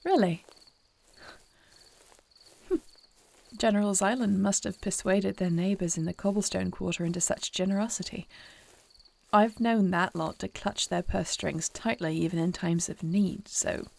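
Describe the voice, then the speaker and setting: A woman's voice: mid-range voice, unhurried, gravelly, pauses often, a little mellow. A woman playing a short-tempered but caring newly crowned queen in a fantasy audio roleplay, with formal, regal phrasing. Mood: concerned, intrigued.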